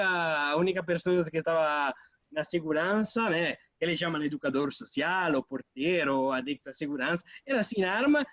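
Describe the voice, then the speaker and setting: An Italian man speaking as an interview guest, halting and restarting as he mixes Portuguese with Spanish. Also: a little high, a bit bright, no room sound, highs cut off. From Italy. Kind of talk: casual interview over a call.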